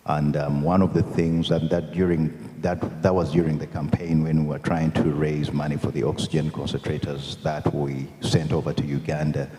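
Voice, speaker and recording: A man hosting a live event, introducing a guest in a halting way with many fillers. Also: extremely low pitch, flat delivery, dark tone. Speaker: event host. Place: convention hall. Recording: echoey hall reverb.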